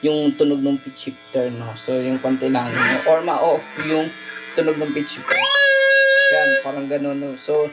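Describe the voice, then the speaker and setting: A man's voice: mid-range voice, level tone, a little slow. A man talking casually and conversationally as a guitar effects vlogger, often ending phrases with a tag question. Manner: conversational, frequent "no?" tags. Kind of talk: casual vlog demo, Tagalog mixed with English. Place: indoor home studio by a window.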